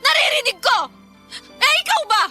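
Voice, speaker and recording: Very high, bright, defensive unfaithful wife. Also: female voice, animated, normal speed, soft background music, heavily acted. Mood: angry, hurt. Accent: Filipino. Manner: emotional, hesitant then heated. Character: guilty, defensive unfaithful wife pleading and lashing out. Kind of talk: Tagalog radio drama acting.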